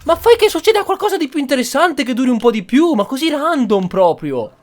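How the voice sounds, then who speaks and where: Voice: sits high, big pitch swings, quick pace. A streamer giving casual, blunt, colloquial commentary over a game.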